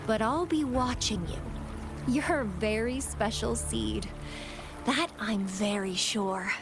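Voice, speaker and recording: An actress playing a possessive, teasing, mysterious woman in a video game, with a languid, coy delivery. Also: on the high side. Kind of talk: video game character acting.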